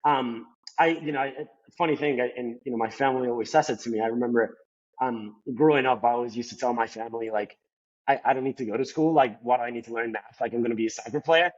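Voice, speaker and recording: A young man from Colombia talks quickly, his Colombian accent showing through a native Spanish speaker's English. He rambles casually, stacking fillers, at a mid-range pitch. The recording sounds slightly dull and lo-fi. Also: fairly even intonation. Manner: rambling, heavy on "like" and "you know" fillers. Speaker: podcast guest. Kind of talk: casual interview talk.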